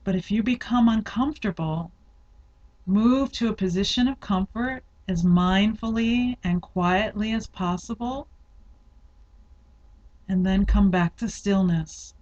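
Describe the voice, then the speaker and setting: A woman leading a guided yoga nidra meditation, giving instructions in a measured, deliberate way with spaced-out phrases. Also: medium pitch, darkish tone, muffled recording.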